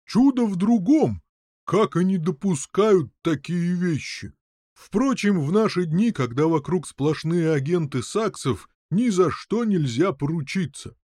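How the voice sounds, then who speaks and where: A man's voice: sits high, animated, a little slow, darkish tone, no echo, studio quality, pitched above the performer's own voice. A man voicing a pompous, indignant old knight who grumbles and blusters in an audiobook story. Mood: grumbling.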